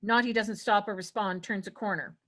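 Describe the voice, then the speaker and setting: A woman's voice: mid-range voice, steady tone, fairly quick, a bit bright. A woman reading stage directions as the narrator at a script table read.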